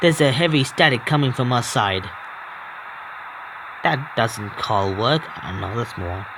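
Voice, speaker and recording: A man's voice: mid-range voice, expressive, measured pace, background noise. A young man streaming a horror visual novel, reading the text aloud with stumbles and breaking off into swearing, joking asides. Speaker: game streamer.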